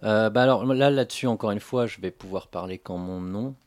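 A man speaking spontaneously as a radio interview guest, halting and often correcting himself as he goes. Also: lowish voice, a little mellow, no room sound. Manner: hesitant, halting delivery with many self-corrections. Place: radio studio.

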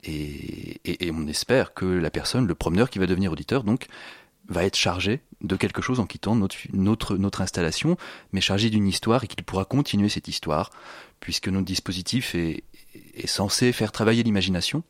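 A man's voice: deep voice, moderate pace, no echo, studio quality. A man explaining his sound installation in an interview, searching for his phrasing and repeating words as he goes.

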